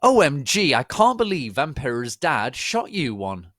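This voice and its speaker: A melodramatic teenage goth girl, as a man puts her on, indignant and exclaiming. The pitch leaps far above a natural low male register, in a dry, close storybook reading. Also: big pitch swings, measured pace, fairly bright tone, no room sound. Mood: shocked, breathless.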